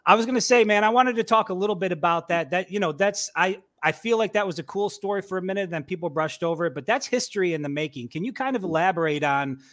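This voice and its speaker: Jokey, high-voiced podcast co-host. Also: male voice, fast talker, few pauses, fairly bright tone. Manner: jokey, laughs easily, casual swearing. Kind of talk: casual sports podcast chat.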